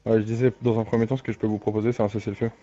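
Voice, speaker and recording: A man roleplays a formal, probing crime boss with a low, flat delivery. He stays composed, and the game voice chat sounds dull and lo-fi. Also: racing, soft background music, close to an everyday voice. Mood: cool, probing. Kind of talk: improvised online roleplay dialogue.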